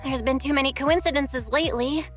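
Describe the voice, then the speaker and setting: An actress voicing a friendly, perceptive young female manager in a video game, in a casual, gently probing way. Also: high voice, fairly quick, natural voice. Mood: curious, suspicious. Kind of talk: video game character dialogue. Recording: music under the voice.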